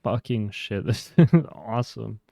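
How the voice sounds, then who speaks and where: A man's voice: on the low side, a little slow, creaky, warm tone, dry recording. A man co-hosting a casual album-review podcast, gushing with enthusiasm and swearing freely, his voice worn from a long session. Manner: enthusiastic, swearing, laughing often. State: losing his voice. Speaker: podcast co-host. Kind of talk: casual music review chat.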